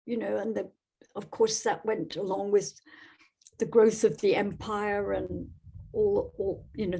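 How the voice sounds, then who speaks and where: Woman from Scotland, podcast guest. Slightly husky voice, thoughtful and halting delivery. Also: medium pitch, dark tone. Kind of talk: conversational interview answers.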